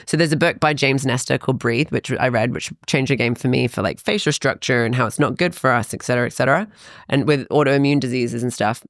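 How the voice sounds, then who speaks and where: A woman's voice: very deep voice, no room sound. Chatty woman on a dry studio mic. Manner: chatty, animated storytelling with quick asides. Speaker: fitness coach guest. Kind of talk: casual podcast interview.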